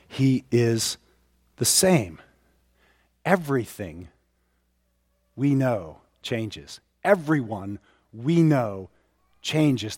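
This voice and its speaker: A man in his fifties preaching a sermon, leaning on pauses and repetition for emphasis. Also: medium pitch, dry recording, studio quality, big-room sound. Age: 50s. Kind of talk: sermon to a congregation.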